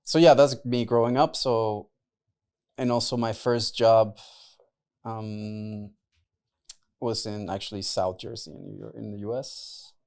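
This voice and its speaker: A man's voice: fairly low pitch, steady tone, unhurried, gravelly, pauses often, darkish tone. A young man from Mexico and the US, whose first language is Spanish, chatting loosely as a podcast guest, full of "like" and "right?" fillers. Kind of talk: casual interview talk.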